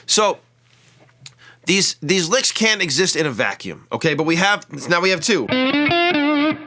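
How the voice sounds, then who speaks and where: A man teaching lap steel guitar in a relaxed, chatty way, calling out scale degrees as he plays. His voice is bright and a little high for a man. Soft guitar playing sits behind his words. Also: unhurried. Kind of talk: casual demonstration lesson.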